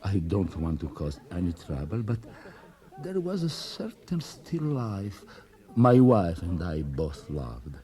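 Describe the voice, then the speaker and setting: An actor playing a shabby, proud old man down on his luck in a radio drama, frail and halting. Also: sits low, very animated, warm tone, exaggerated voice. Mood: hesitant, pleading. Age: older. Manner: halting, pleading, with sudden outbursts.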